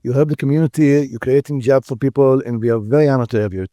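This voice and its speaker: A man hosting an interview podcast, effusive and flattering, cutting in with quick remarks. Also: mid-range voice, no echo, clean studio sound. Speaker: podcast host. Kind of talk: interview conversation.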